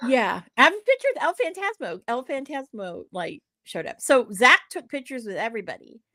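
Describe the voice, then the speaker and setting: A woman with a loud voice telling a casual story, rambling with lots of "like" and acted-out dialogue. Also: on the high side, sing-song, fairly quick, dry recording. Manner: rambling, full of "like" and quoted dialogue. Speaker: podcast co-host.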